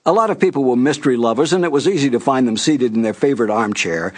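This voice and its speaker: An older man hosting with a scripted, nostalgic introduction, telling it in a measured way with deliberate emphasis. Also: mid-range voice, expressive, darkish tone. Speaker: announcer and host.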